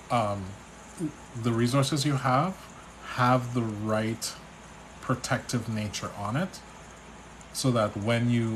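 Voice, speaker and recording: A man from Trinidad with a Trinidadian accent, a spiritual reader on a live stream, relaxed and chatty as he reads out viewers' questions. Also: medium pitch, a little hiss or hum, low-quality recording. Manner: relaxed, chatty, reads names and questions aloud, restarts often. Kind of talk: live stream reading, answering chat questions.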